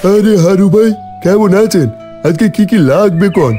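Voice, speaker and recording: Mellow, chummy village vegetable seller, a man acting. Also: high voice, moderate pace, soft background music, lightly acted. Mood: chummy, eager. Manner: chatty, salesman-like. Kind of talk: cartoon voice acting.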